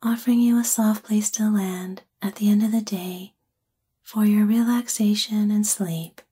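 Breathy, whispering woman guiding sleep meditation. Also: medium pitch, steady tone. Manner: gentle, soothing, unhurried phrasing. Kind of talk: guided sleep meditation, ASMR-like narration.

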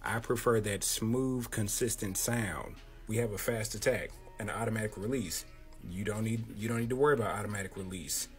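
A man in his thirties with a deep, boxy voice, talking close to a handheld mic as he casually walks through his audio setup in a small home room. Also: a little fast, soft background music. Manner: laid-back, slangy, talks close to the mic. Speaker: content creator explaining his audio setup. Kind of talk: casual tutorial. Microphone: handheld close-up microphone.

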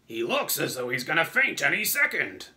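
A giant, brash centipede from a children's book, acted by a man. He is loud and hearty, pitched above an everyday male voice. The delivery is animated and jolly. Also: moderate pace, gravelly, exaggerated voice. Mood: amused.

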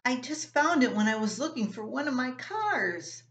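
A woman's voice: fairly high pitch, sing-song, moderate pace, fairly bright tone, echoey room, pitched above the performer's own voice. An older woman voicing a stubborn, dismissive ten-year-old younger brother in a family story, offhand and defiant.

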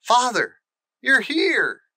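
Man voicing a bossy, high-pitched young boy. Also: huge pitch swings, very slow, pushed and bright, no room sound, studio quality, full character voice. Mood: excited. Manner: imperious, emphatic.

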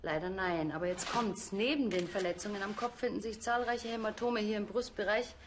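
A woman playing a brisk, precise forensic pathologist, explaining clinically in a naturalistic crime drama. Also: mid-range voice, steady tone, background noise, lightly acted.

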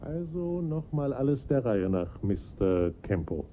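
An actor playing a composed, probing police inspector who questions formally in a German radio crime drama. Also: male voice, mid-range voice, big pitch swings, a little slow. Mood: measured, probing. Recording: muffled lo-fi radio play recording.

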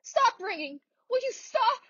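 A woman's voice: very high voice, very animated, a little slow, bright, forceful tone, no echo, lo-fi sound, exaggerated voice. A woman playing a frantic, demanding bedridden wife in a radio thriller, with an indignant, melodramatic manner. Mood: desperate, agitated. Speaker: actress in a student radio play. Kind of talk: theatrical radio thriller acting.

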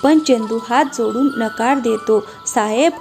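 A woman's voice: fairly high pitch, lively intonation, unhurried. A woman reading scripted narration for a cartoon moral story. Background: light background music.

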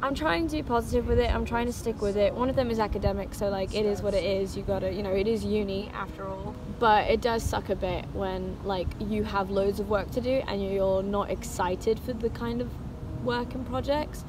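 A woman's voice: mid-range voice, steady tone, fairly quick, poor audio. Chatty young woman, casual vlog. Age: 20s. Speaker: vlogger, university student. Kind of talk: casual chat to camera.

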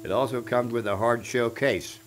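A man, talking casually and unhurriedly in short folksy fragments as he pitches something for auction. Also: steady tone, moderate pace, low-quality recording. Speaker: musician presenting a guitar for a charity auction. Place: small indoor room at home. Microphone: microphone on a stand at guitar height. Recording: acoustic guitar playing around the voice. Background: acoustic guitar.

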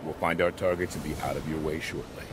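Deep-voiced, commanding soldier. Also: male voice, steady tone, fast talker. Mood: composed, firm. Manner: clipped, formal, commanding. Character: composed, commanding male armored soldier leading a squad. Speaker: game character, squad leader. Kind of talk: video game voice acting. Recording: loud battle and engine noise behind. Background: game ambience, vehicles, combat.

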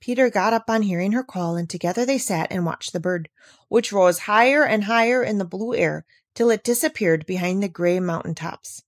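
A woman reading a classic children's novel aloud, with measured, expressive delivery. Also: mid-range voice, no echo, clean studio sound.

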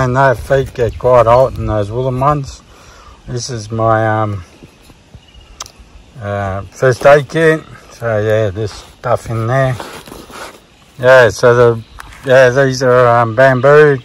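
A man's voice: mid-range voice, slight background noise. Rambling Australian man outdoors. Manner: relaxed, rambling, many ums and restarts. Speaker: vlogger. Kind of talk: casual hiking gear walkthrough. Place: outdoors at a campsite by a river.

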